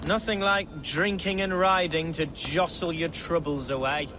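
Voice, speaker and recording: A man's voice: on the high side, steady tone, measured pace, background noise, lightly acted. An actor playing an earnest, tipsy young Saxon nobleman, eager and wordy in a dramatic video game style. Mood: tipsy, excited. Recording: music behind the voice.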